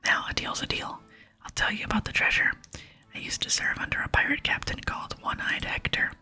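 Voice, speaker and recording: A woman's voice: brisk, pushed and bright. A woman voicing a male pirate sailor in a video game, reading his salty dialect lines in an ASMR whisper. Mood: conspiratorial. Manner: pirate talk with dialect grammar. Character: male pirate sailor, gruff old mate Frank.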